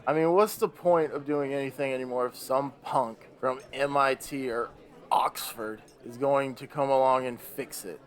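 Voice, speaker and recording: A man's voice: mid-range voice, steady tone, normal speed, lightly acted. A man playing a bitter, weary old Devil in a radio play, grumbling in a theatrical, sardonic way. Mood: exasperated.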